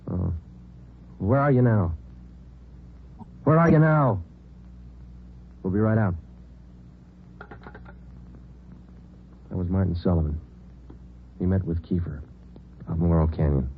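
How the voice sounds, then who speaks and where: An actor playing a terse, businesslike detective who also narrates an old-time radio police drama in a deadpan manner. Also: male voice, low voice, slight background noise. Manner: deadpan, matter-of-fact. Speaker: lead character and narrator. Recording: muffled old radio recording with music.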